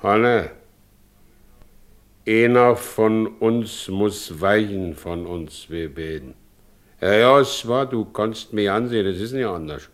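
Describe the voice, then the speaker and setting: An actor playing a brooding, wounded carter with a Silesian accent and a halting, heavy way of talking in a radio play. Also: male voice, on the low side. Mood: grave, resigned. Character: brooding, wounded married carter, stubborn and weary. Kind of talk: naturalistic German radio play acting.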